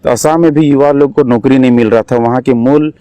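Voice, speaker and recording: A man's voice: medium pitch, steady tone, brisk, warm tone, smooth, dry recording, highs cut off. A young man from Jharkhand with a Jharkhand Hindi accent, answering interview questions as an election candidate in an earnest, exhorting manner. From Jharkhand, India. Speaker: election candidate, political activist.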